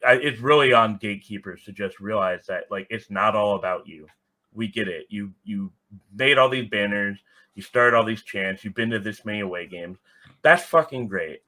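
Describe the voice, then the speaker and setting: Male podcast guest, lowish pitch, bright forceful edge, blunt and casual. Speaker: podcast guest, soccer fan. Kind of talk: casual opinionated talk.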